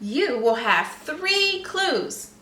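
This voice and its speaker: Storybook park ranger, played by a woman: deliberate announcer delivery, slow and bright, roomy sound. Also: high voice, big pitch swings, heavily acted. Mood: official, announcing. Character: male park ranger announcing treasure hunt rules. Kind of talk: storybook read-aloud. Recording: roomy, echoey, slightly distant microphone.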